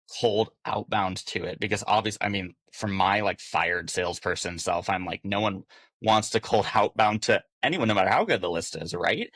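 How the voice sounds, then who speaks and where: Low, gravelly male podcast guest. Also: fairly bright tone, dry recording. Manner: talkative, informal, often voices imagined quotes. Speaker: podcast guest, business operations director. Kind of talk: casual, conversational interview answers.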